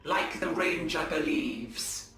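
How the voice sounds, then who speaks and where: A man playing a cautious, scholarly alien adviser in a video game, offering slow, deliberate counsel. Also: fairly high pitch, pushed and bright. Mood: cautioning. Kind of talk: dramatic video game cutscene acting. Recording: echoey, distant, music behind.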